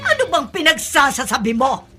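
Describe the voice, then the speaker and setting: A woman's voice: high voice, big pitch swings, normal speed, pushed and bright, soft background music, full character voice. A woman playing an anxious, distressed aunt in a radio drama.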